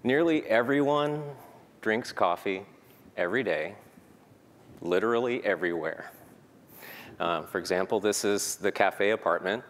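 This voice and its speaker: A man's voice: on the low side, a little slow, long pauses. A man giving a prepared conference talk, earnest and peppered with "um" fillers. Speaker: conference speaker. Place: conference hall. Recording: reverberant hall, faint noise.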